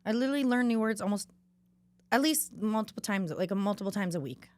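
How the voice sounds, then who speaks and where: A woman's voice: mid-range voice, lively intonation, quick pace, a little mellow, dry recording. A young woman co-hosting a podcast in casual chat, playful and giggly, with a lot of "like". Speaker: podcast co-host.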